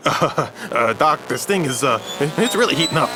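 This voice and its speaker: Hesitant male brawler amid loud noise, acted. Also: on the high side, lively intonation, lightly acted. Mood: nervous. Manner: unsure, halting, easygoing. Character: casual, hesitant young male street-crew brawler volunteer. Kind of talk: game-world audio drama acting.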